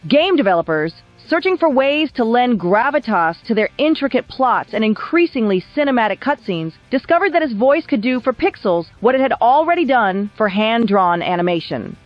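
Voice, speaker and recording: A woman narrates a scripted biography in a polished storytelling style. Her voice sits fairly high and moves with lively intonation, with music behind it. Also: normal speed, hardly any pauses, lo-fi sound. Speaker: documentary narrator. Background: background music.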